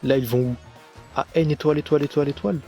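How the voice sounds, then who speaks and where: Male reviewer, slightly high voice, brisk sarcastic scripted commentary over light music. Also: fairly even intonation. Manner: sarcastic, critical. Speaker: video reviewer.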